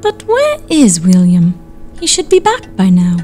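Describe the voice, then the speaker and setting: A woman playing a fretful, fearful Victorian gentlewoman and mother in a period audio drama, with old-fashioned phrasing. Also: mid-range voice, huge pitch swings, a little slow. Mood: worried.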